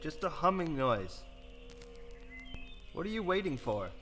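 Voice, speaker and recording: Man acting exasperated doctor, bright and sharp. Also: on the high side, very animated, soft background music. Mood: puzzled. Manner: brisk, incredulous, grudging. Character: sceptical, exasperated male doctor humouring a patient. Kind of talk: radio drama acting.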